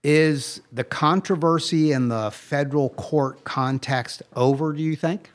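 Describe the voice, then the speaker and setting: A man's voice: fairly high pitch, a little slow. An older man with an American accent, co-hosting a podcast in a casual, conversational style. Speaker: podcast co-host.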